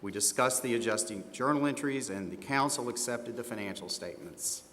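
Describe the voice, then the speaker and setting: A man's voice: medium pitch, fairly quick, close to an everyday voice. In a reverberant hall with faint noise, a man acts out an older male auditor under questioning. He answers in a confident, slightly defensive way, as in a scripted training role-play. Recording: echoey hall, steady background room noise.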